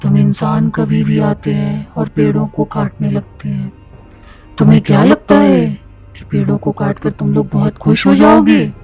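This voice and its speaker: A woman's voice: mid-range voice, measured pace, warm tone, slightly rough. A woman voicing a menacing female forest spirit, scolding and taunting in a cartoon horror story. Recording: music behind the voice.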